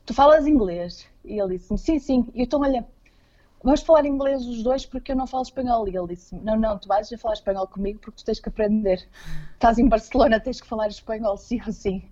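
A woman's voice: on the high side, fast, warm tone, lo-fi sound. A woman with a Portuguese accent talking casually as an interview guest, often hesitating and repeating words as she searches for phrasing. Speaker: interview guest living abroad.

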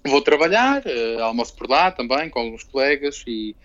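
A man's voice: mid-range voice, expressive, moderate pace, a bit bright. A young man, chatting casually as an interviewee, rambling with restarts and frequent tag questions. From Portugal. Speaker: interviewee, Portuguese living in Barcelona. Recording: dull lo-fi sound.

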